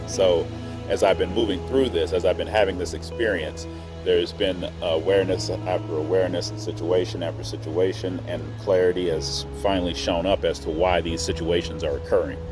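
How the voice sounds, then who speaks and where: A middle-aged American man from Oklahoma giving a reflective monologue in an earnest, deliberate and emphatic way, pausing between phrases. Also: low-pitched, fairly even intonation, music in the background. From Oklahoma, United States. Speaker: host.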